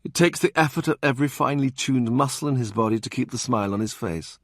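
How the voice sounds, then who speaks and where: A man narrating an audiobook in a calm, measured way. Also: mid-range voice, no echo, clean studio sound. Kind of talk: scripted narration.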